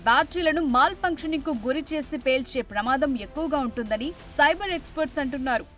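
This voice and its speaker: A woman's voice: high-pitched, fast, fairly bright tone. A woman delivering a scripted news narration as a reporter. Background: music behind the voice.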